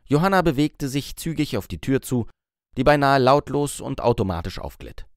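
Male narrator, scripted novel reading, medium pitch, dry studio-like sound. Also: expressive, normal speed, no room sound. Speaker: audiobook narrator.

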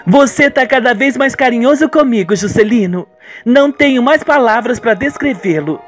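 Male actor as a lovestruck young woman, high-pitched, swooping, gushing, radio soap, music behind. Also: expressive, normal speed. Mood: tender. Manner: cooing, tender and gushing. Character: affectionate young woman in love, soap opera.

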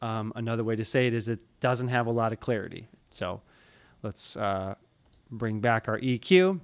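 A man in his thirties teaching audio editing in a relaxed, explanatory way, recorded on a studio microphone in a small home studio. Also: fairly low pitch, normal speed. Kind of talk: screen-recorded tutorial. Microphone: studio mic in frame, wearing headphones.

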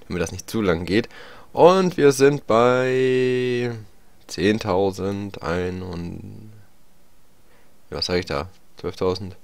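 A young man with a slight cold talks through a gameplay tutorial, chatty and hesitant, often pausing on fillers. His voice sits fairly low. The recording is dry and close, with no room sound around it. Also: creaky, dry recording. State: slight cold, clearing throat. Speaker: gaming YouTuber.

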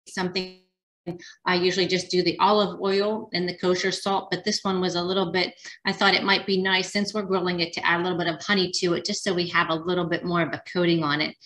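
A woman's voice: fairly low pitch, a bit bright. A woman teaching a casual cooking demonstration, chatty and rambling with frequent ums and restarts. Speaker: dietitian cooking instructor.

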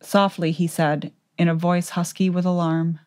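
Female narrator for fantasy audiobook: low register, measured, dramatic delivery. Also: little pitch movement, a little mellow.

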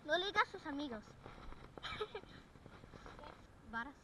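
A woman filming herself fishing from an outdoor boardwalk on a head-mounted camera, chatting excitedly and shouting at each catch. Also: sits high, slow, background noise. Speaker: angler filming her catch. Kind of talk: excited casual chat while fishing. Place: outdoor wooden boardwalk by a lake. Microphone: head-mounted action camera.

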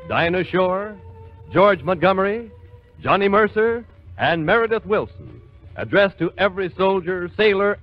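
An American man announcing a 1940s radio show, heard on a muffled old broadcast recording. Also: high voice. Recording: muffled 1940s radio transcription, music underneath.

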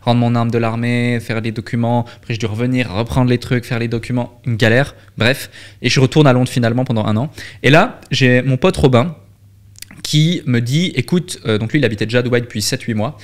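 A man's voice: medium pitch, fairly quick. A young man from Switzerland, a guest on a podcast, telling his story casually with many fillers and restarts into a studio microphone. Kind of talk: candid storytelling interview. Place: dark podcast studio with red light. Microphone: studio mic on boom arm, headphones.

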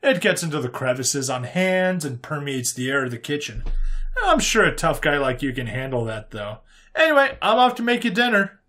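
A man voicing a cheerful, folksy human interrogator in a narrated sci-fi story, calm and teasing in colloquial phrases. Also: a little high, moderate pace. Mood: slyly teasing.

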